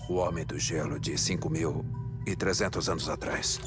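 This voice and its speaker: A terse courier hero in a Brazilian game dub. The voice is very low, skeptical and sparing with words, with music clearly playing underneath. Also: male voice, fairly even intonation, moderate pace. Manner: laconic, questioning. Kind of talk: dubbed video game cutscene acting. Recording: loud music behind voice.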